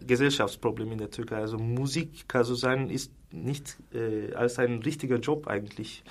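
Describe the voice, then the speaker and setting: A man's voice: mid-range voice, steady tone, normal speed. A man in his thirties from Turkey, a native Turkish speaker with a Turkish accent, chatting as an interview guest and often repeating words as he searches for phrasing. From Istanbul, Turkey. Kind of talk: casual interview chat. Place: radio studio.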